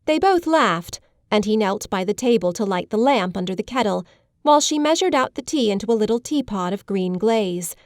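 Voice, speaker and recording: A woman reads scripted literary narration in a mid-pitched voice. Her storytelling is measured and polished, recorded very dry and close. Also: expressive, dry recording. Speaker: audiobook narrator.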